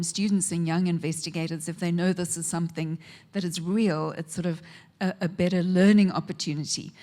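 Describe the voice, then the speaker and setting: Low, steady South African woman chairing. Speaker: session chair. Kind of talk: introducing speakers.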